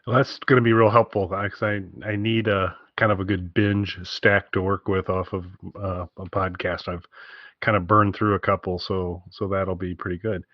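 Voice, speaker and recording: A man's voice: low voice, little pitch movement, normal speed, no room sound, lo-fi sound. A man co-hosting a podcast, rambling casually and enthusiastically about music recommendations with wry asides. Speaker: podcast co-host giving album recommendations.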